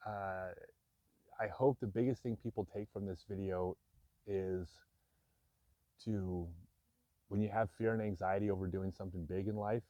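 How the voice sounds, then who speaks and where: A man's voice: low-pitched, steady tone, moderate pace, pauses often, dark tone, no echo, highs cut off. A man in his fifties talking candidly and reflectively to camera, frank and self-deprecating with occasional chuckles. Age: 50s. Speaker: vlogger. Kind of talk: candid personal talk to camera.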